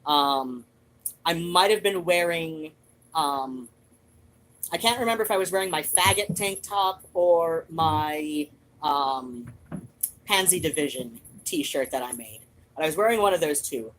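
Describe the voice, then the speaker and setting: A woman hosting a show, chatting casually in an animated, digressive, freely swearing way. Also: low-pitched, unhurried, a little hiss or hum, rough recording. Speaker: host of a reading and chat show. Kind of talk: rambling casual personal chat.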